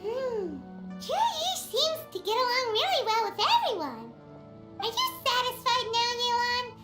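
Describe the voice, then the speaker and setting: Excitable little fairy sidekick for a game: childlike, squeaky, very high and wildly animated. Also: female voice, unhurried, heavily acted. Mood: musing. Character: small childlike excitable fairy companion, female, guileless and food-loving. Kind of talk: game voice acting. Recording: music behind, roomy game audio. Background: game music.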